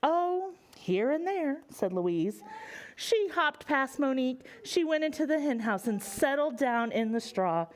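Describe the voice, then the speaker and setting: A woman's voice: high voice, animated, unhurried. An American woman reading a picture book aloud to young children, expressively and with questions for them along the way. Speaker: children's library storyteller.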